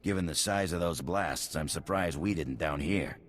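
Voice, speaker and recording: A man acting a weary colony engineer in a video game, with an extremely low voice. He is plain-spoken, folksy and wry, with faint noise behind him. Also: normal speed, natural voice. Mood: friendly, wry.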